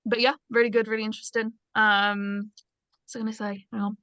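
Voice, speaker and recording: Bright-voiced woman, tentative and casual. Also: on the high side, level tone, brisk, dry recording. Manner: tentative, searching for words. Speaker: script reading panellist. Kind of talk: casual feedback chat.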